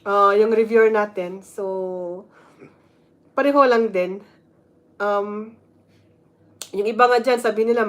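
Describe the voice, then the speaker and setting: Filipino woman vlogger mixing Tagalog. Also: medium pitch. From Philippines. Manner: switches between Tagalog and English, reads parts aloud, chatty with fillers. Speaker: vlogger, nurse. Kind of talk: casual instructional vlog.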